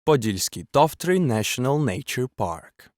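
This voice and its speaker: A man reading scripted narration in a measured, clearly enunciated documentary manner. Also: mid-range voice, big pitch swings, a little mellow, no room sound, studio quality. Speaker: voice actor.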